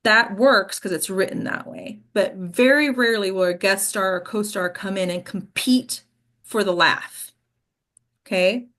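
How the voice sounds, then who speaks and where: A woman's voice: mid-range voice, measured pace, fairly bright tone. A woman teaching a class as an acting coach, explaining informally and often checking in with the listeners. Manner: explanatory, checks in with "okay?" and "right?".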